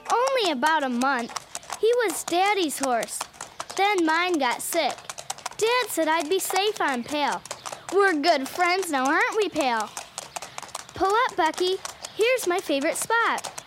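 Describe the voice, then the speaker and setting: Lady doing a little horse girl, super high lively voice, sure of herself, kids radio play, bit of music under. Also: slow, background noise, heavily acted. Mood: cheerful. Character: confident, self-reliant young country girl who rides horses.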